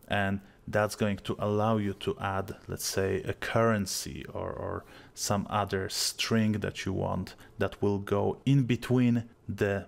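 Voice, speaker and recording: A young man with a Polish accent explains a software tool step by step in a calm, easygoing voice-over. His voice sits a bit low and carries a gravelly edge. The delivery is patient and clear, like a tutorial host. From Poland. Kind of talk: screen-recorded software tutorial.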